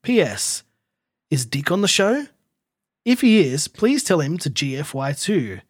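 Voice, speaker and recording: An Australian man co-hosting a podcast, quick to laugh while chatting and reading out emails. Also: on the high side, big pitch swings, slightly rough, dry recording, studio quality. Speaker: podcast co-host.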